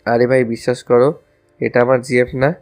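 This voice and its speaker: Man acting even-toned lovestruck college student. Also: mid-range voice, normal speed, faint music behind, natural voice. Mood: protesting. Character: lovestruck young college student, casual, grumbling. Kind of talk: romantic audio story acting.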